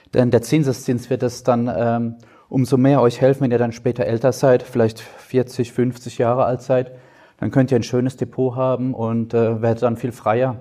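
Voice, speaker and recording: A man's voice: mid-range voice, warm tone. A man on a school panel giving encouraging advice to students. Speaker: panelist, financial journalist.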